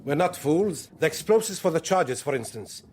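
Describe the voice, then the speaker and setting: A man acting a militant commander in a radio thriller, with a fairly high male voice. He probes and gives orders with smug, condescending authority and quiet menace. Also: lively intonation, moderate pace, slight background noise, lightly acted. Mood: smug, boastful.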